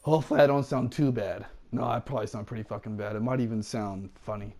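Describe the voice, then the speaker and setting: A young man with a congested, stuffy voice commentating on a game in a casual, rambling way. His tone is dark and mellow. Faint music plays underneath. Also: lowish voice, fairly even intonation, quick pace. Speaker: let's play commentator.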